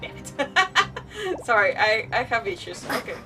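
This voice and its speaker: A woman's voice: fairly high pitch, sing-song, a little slow, far from the mic. A woman livestreaming a video game with casual, playful commentary, often muttering to herself and breaking off mid-thought. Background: game music.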